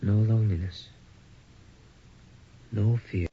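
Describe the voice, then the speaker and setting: A man's voice: fairly low pitch, steady tone, slow, slight background noise. A young actor playing an uneasy male college student who stammers and grows frantic in a radio drama. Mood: frightened. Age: young adult. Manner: hesitant, stammering, growing frantic. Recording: dull old radio broadcast.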